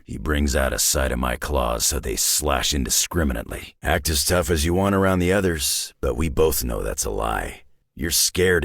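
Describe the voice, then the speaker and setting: An actor playing a gruff, world-weary, tough older brawler in a video game, with a gravelly, raspy growl and a blunt, laconic delivery. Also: male voice, very deep voice, a bit bright, dry recording, clean studio sound, full character voice. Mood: grave, intense. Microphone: close, dry studio microphone.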